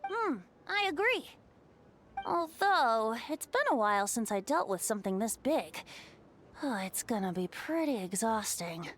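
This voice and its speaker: A woman's voice: on the high side, very animated, unhurried, fairly bright tone, a little hiss or hum. A woman voicing a playful, theatrical young male bard in a video game. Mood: musing. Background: game music.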